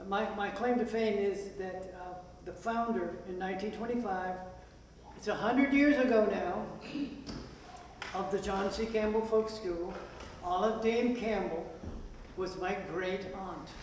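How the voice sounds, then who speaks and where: A man's voice: high voice, measured pace. An older man giving an informal, humorous introductory speech to an audience as a playwright. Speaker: playwright introducing his play. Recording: echoey hall from far off, hiss.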